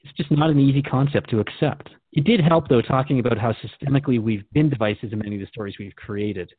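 A man's voice: mid-range voice, fast talker, slightly rough. Male radio host on a phone-like line. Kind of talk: interview in a radio drama. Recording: dry, narrow phone-like band.

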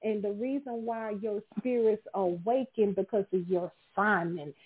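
A woman's voice: mid-range voice, steady tone, moderate pace, slightly rough. A woman from Texas hosting a prayer line, praying fervently and repetitively, sometimes speaking in tongues, heard over a tinny phone line.

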